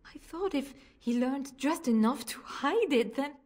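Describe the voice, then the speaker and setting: A woman's voice: sits high, expressive, measured pace, a little mellow. A woman playing an anguished, protective noble mother in a fantasy video game, pleading and emotional.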